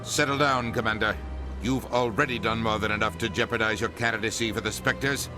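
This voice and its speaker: An actor playing a stern, indignant middle-aged ambassador in a video game, curt and authoritative. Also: male voice, fairly low pitch, fairly even intonation, brisk, over music, lightly acted.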